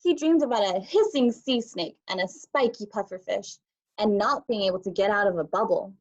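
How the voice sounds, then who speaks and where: Female storybook reader, teacher, middle register, somewhat muffled low-fidelity recording. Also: moderate pace, a little mellow. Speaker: teacher reading aloud to children. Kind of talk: children's picture book read-aloud. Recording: dull lo-fi audio.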